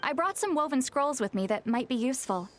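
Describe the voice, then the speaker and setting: An actress playing a calm, scholarly young woman in a video game, explaining in a measured, articulate way. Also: high-pitched, slight background noise. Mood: thoughtful. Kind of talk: video game dialogue, expository.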